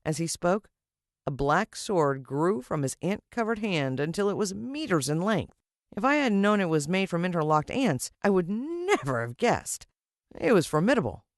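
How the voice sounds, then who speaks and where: A woman's voice: fairly low pitch, sing-song, normal speed, no room sound, clean studio sound. A woman with an American accent reading a children's story expressively as an audiobook narrator.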